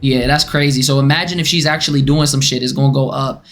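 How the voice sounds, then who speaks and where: High-voiced young man reacting live. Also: quick pace. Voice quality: high boyish voice. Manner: animated, swearing, lecturing. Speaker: streamer reacting to clips. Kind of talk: casual live-stream reaction.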